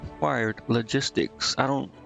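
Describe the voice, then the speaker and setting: A man streaming a game, casually reading on-screen text aloud and thinking out loud in a halting, puzzled mutter. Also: medium pitch, steady tone, creaky. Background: game music.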